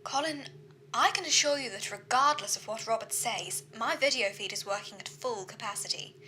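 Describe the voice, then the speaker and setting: Actress as dutiful female AI of a starship: bright, fairly high, formal and exact, audio drama. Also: soft background music. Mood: matter-of-fact. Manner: formal, measured reporting. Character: female ship's computer AI, precise, dutiful, courteous. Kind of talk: science fiction audio drama acting. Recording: faint background noise.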